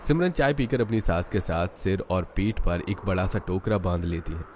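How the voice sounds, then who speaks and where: Male narrator, low voice, scripted storybook delivery, faint noise behind. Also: moderate pace.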